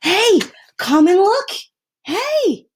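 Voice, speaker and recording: A woman voicing an excitable young schoolboy from a storybook, speaking in urgent exclamations. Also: very high-pitched, drawn-out words, pitched above the performer's own voice, heavily acted. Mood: excited.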